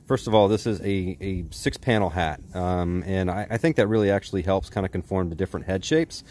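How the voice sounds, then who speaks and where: American male, 40s, lowish voice, folksy outdoor product reviewer, recorded in a backyard. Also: fairly quick, darkish tone.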